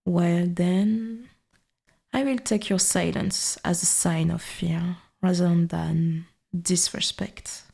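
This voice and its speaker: Woman as a slow, murmuring ancient forest goddess. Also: lowish voice, fairly even intonation, mellow, full character voice. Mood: condescending, veiled threat. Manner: slow, breathy half-whisper, archaic formal wording. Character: ancient female forest god, dominant, possessive, quietly menacing. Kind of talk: ASMR audio roleplay.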